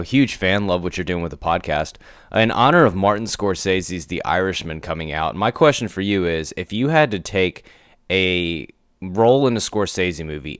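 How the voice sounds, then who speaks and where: A man's voice: low voice, no room sound, studio quality. A man leaving a friendly voice memo question for a podcast, sounding a little unwell. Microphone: phone voice memo.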